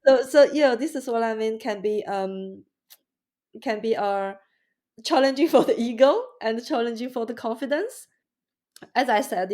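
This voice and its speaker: A woman with a Mandarin Chinese accent, a guest in a podcast interview, speaking haltingly with many restarts and fillers. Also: fairly high pitch, a little mellow.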